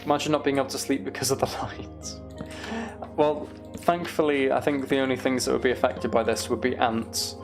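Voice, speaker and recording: A man with a noticeably creaky, gravelly voice talks casually on a gaming livestream. He muses aloud to himself and to his viewers in a conversational way. Faint music plays behind him. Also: medium pitch, flat delivery, a little fast. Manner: musing aloud, reads and answers viewer comments. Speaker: live streamer.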